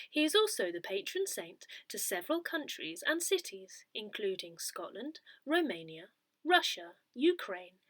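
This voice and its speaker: A woman reading a scripted newsletter aloud in a measured, expressive way. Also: medium pitch, no echo. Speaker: newsletter reader.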